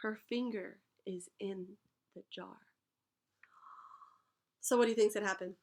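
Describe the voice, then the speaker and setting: A young woman teaching a class, reading a picture book aloud and pausing to explain words and ask questions. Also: medium pitch, fairly even intonation, darkish tone. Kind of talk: read-aloud storytime lesson.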